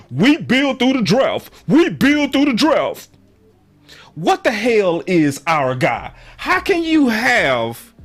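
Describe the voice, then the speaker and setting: Hoarse guy doing passionate sports talk, kinda high voice, raspy, slangy and emphatic. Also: fairly bright tone. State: hoarse, voice going in and out. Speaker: sports call-in show host. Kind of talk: passionate sports commentary to callers and viewers.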